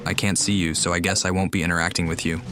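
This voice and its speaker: A man's voice: low-pitched, flat delivery, fast talker, over music. A man giving casual gameplay commentary, musing aloud as he plays. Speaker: game streamer.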